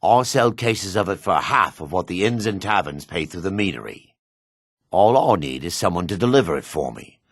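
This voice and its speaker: A man voicing a gruff, wary male dark elf townsman in a fantasy video game, with a low, husky voice. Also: no echo, clean studio sound, exaggerated voice. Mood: sly.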